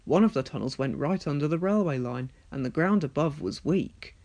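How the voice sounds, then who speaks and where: Male storyteller, slightly high voice, scripted children's read-along narration. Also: lively intonation, moderate pace, darkish tone.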